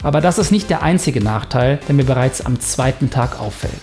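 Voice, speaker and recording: A man narrating his own video in a scripted voice-over, reflective and lively, with a sponsor read. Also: mid-range voice, brisk, faint music behind. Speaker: YouTuber narrating a self-experiment.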